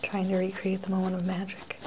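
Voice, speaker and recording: A woman playing the person being patted down in an ASMR role-play, answering quietly in a dry, deadpan way. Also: mid-range voice, brisk, a little hiss or hum.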